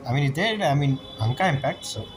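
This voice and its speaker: A man doing casual game commentary and reading dialogue aloud, with halting, non-fluent phrasing. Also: on the high side, animated, rough recording. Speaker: gamer, let's-play commentator. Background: game music.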